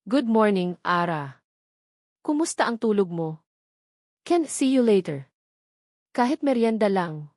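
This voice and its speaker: A woman with a Filipino accent voicing a gentle, concerned young man in an audio love drama. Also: medium pitch, big pitch swings, takes it slowly, long pauses, dry recording, studio quality. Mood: friendly, caring. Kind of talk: audio drama character dialogue.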